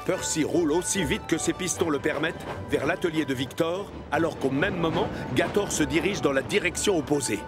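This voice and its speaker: A man's voice: fairly high pitch, a little fast, hardly any pauses, loud music behind the voice. A man narrating a children's story as scripted narration.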